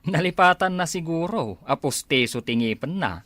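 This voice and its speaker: Inquisitive Ilocano man with lively pitch, acted. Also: normal speed, no echo. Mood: curious. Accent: Ilocano Filipino. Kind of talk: amateur Ilocano comedy drama acting.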